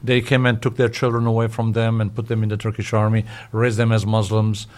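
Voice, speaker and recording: An older man from Syria explaining history as an interview guest, haltingly, searching for words and repeating himself. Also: on the low side, completely flat delivery, a little mellow.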